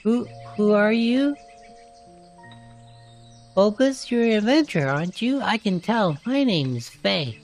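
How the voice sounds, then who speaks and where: Casting: shy, earnest fantasy game girl, worried and pleading, mid-pitched adult female performer, soft music bed. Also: lively intonation, slow pace, long pauses. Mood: curious, hopeful. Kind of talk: narrated fantasy game dialogue.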